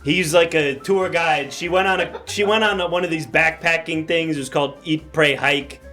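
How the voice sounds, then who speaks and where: A man voices an old actor attempting a Welsh-ish accent that keeps slipping. He drawls and overacts every line, and the voice stays somewhat high. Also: steady tone, normal speed, bright, forceful tone, faint music behind. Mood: hammy. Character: older male actor doing a shaky Welsh-ish voice.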